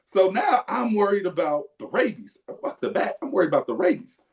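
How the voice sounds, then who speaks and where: Animated man telling a story. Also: sits high, fairly quick. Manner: animated, profane, loud yelps when acting out. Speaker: podcast co-host. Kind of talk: casual storytelling.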